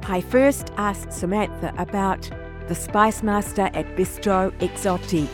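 Female podcast host, scripted narration, mid-range pitch, clear music bed underneath. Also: steady tone, a little slow. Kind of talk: scripted introduction and sign-off narration.

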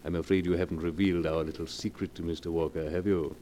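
An actor playing a large, middle-aged blackmailer in 1940s radio style, formal and unhurried with oily mock politeness. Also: male voice, sits low, flat delivery, warm tone, lightly acted. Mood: smug, menacing. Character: large, coarse, bearded blackmailer of fifty, suavely menacing. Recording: noisy vintage 1940s radio recording.

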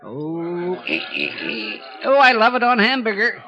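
A jovial, fussy small-town bank president for 1950s radio. His voice is high and bright and swoops around animatedly. He dithers and mutters chattily between his remarks. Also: huge pitch swings, measured pace, exaggerated voice. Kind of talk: 1950s radio character acting.

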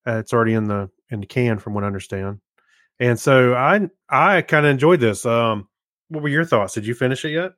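A man's voice: mid-range voice, fairly quick, fairly bright tone, no echo, studio quality. A man co-hosting a podcast, chatting in an easygoing, informal way. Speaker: podcast co-host. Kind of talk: casual chat.

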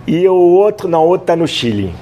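A man in his sixties from France, a chef speaking Portuguese with a French accent, telling stories in a relaxed interview with many fillers. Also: sits high, quick pace, slight background noise. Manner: storytelling, hesitant with many fillers, laughs easily. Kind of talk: casual interview talk.

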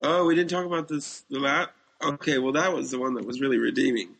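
A man's voice: medium pitch, fast, creaky, a bit bright, husky, rough recording. A man co-hosting a casual podcast, giving opinions in a blunt, dismissive way. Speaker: podcast co-host. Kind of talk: casual opinionated review chat.

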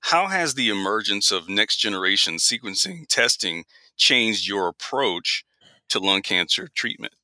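A man hosting a podcast, asking his guest questions in a measured way with a few fillers. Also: on the low side, sing-song, sharp tone, no echo. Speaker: podcast host. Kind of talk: podcast interview.

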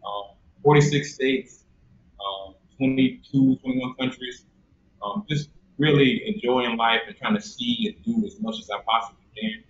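A man's voice: mid-range voice, a little slow. A young man from South Carolina, a talkative guest who laughs often and fills his speech with ums, heard over a video call. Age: young adult. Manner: chatty and animated, laughs often, many fillers. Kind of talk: conversational interview. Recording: echoey room, muffled audio, faint noise.

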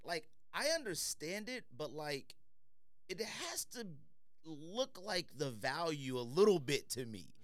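Male American podcast host, lively intonation, slangy teasing banter, very dry close sound. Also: fairly high pitch, takes it slowly, no room sound. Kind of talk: casual panel banter.

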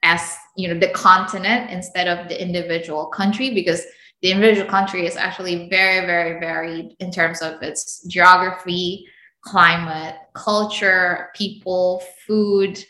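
A young adult woman from Indonesia, a podcast guest telling travel stories in an animated, laughing way. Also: on the low side, roomy sound. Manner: animated storytelling, frequent laughs. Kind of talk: casual interview chat.

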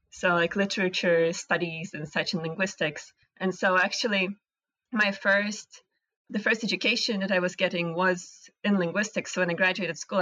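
A woman's voice: on the low side, pushed and bright, dry recording, poor audio. Russian-accented woman, thoughtful and dry. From Latvia and Russia. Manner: thoughtful, searching for words. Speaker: interview guest, animation technical director. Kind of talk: casual interview conversation.

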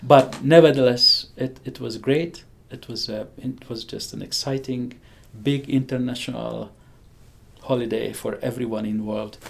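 Hungarian guy in his 60s, gravelly voice, eager, keeps chuckling and restarting, middle pitch. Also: steady tone, a little slow. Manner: enthusiastic, chuckles often, frequent restarts. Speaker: physicist interviewee. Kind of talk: conversational interview.

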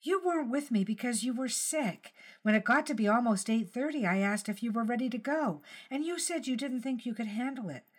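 A woman's voice: on the high side, expressive, a little fast, few pauses. A woman voicing an anxious, wistful elderly wife in an audiobook story, speaking hesitantly and earnestly. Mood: earnest recollection. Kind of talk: audiobook character dialogue.

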